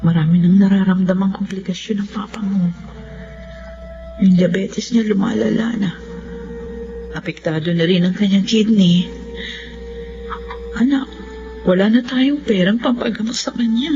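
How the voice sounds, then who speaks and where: A woman's voice: medium pitch, a little slow, pauses often, close to an everyday voice. A woman with a Filipino accent playing a worried, sorrowful middle-aged mother in a Tagalog radio drama, hesitant in manner. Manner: sad, hesitant. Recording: muffled radio drama audio under music.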